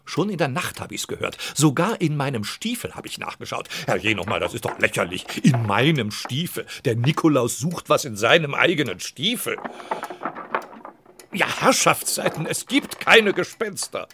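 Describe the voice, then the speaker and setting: Grumpy, gravelly old Saint Nicholas. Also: male voice, medium pitch, sing-song, moderate pace, noisy background. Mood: suspicious grumbling. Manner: blustering, muttering, pompous. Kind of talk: children's audio drama acting.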